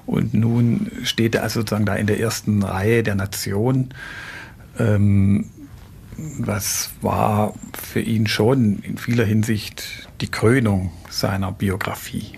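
A man speaking haltingly and searching for words as an expert in a documentary interview. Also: on the low side, fairly even intonation, creaky, a little mellow, a little hiss or hum.

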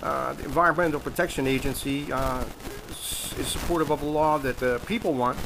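A man's voice: medium pitch, fairly even intonation, fairly quick, creaky, background noise. An American man speaking insistently as an activist caller making a political phone complaint, with some hesitant phrasing.

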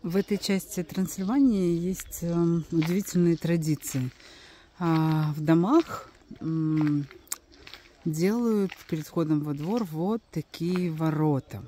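A woman's voice: on the low side, slow pace, dark tone, a little hiss or hum. A woman giving casual, unscripted travel commentary as a guide, explaining things hesitantly with trailing asides.

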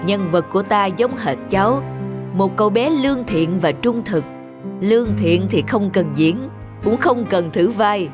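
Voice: medium pitch, animated, measured pace, close to an everyday voice. An actor playing a kindly film director in a cartoon, speaking in a reassuring, encouraging manner. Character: kindly grown male film company director. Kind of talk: animated moral tale dialogue. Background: background music.

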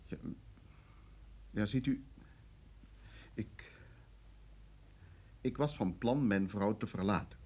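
An actor playing a flustered, indignant man under questioning in a radio drama, halting, defensive and husky. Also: lowish voice, darkish tone. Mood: embarrassed, confessing. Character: flustered, indignant male traveller under interrogation.

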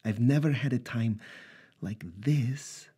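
Dude playing an upset young woman, soft and pleading, pitched up kinda husky. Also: slow.

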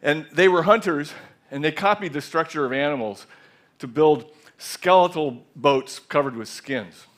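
Guy in his 60s, headset mic on a big stage, calm reflective storyteller, voice a bit on the high side. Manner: measured, reflective storytelling with some restarts. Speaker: speaker. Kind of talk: conference talk. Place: large theater stage.

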